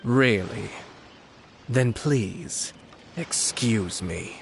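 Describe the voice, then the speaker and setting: Composed, low-voiced young nobleman. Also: lively intonation, gravelly, background noise, heavily acted. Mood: coolly polite. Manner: measured, polite, formal. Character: composed, courtly young nobleman, shrewd and unflappable commissioner. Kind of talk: anime-style game voice acting.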